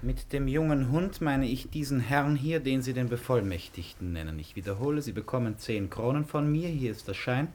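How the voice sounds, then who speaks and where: Radio play stranger male actor: calm, courteous, quietly challenging, medium-pitched, faint noise on the recording. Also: lightly acted. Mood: cool, menacing. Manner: calm, deliberate, probing politeness. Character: cool, unruffled male stranger, quietly provocative gentleman. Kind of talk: German radio drama acting.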